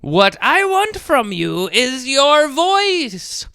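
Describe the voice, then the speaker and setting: A man's voice: extremely high pitch, big pitch swings, extremely slow, bright, forceful tone, no echo, pitched above the performer's own voice, exaggerated voice. An evil sea witch, acted by a man bargains in a raised, swooping voice. She is scheming and demanding, a storybook villainess read aloud for children with a dry, close sound. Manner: demanding, persuasive.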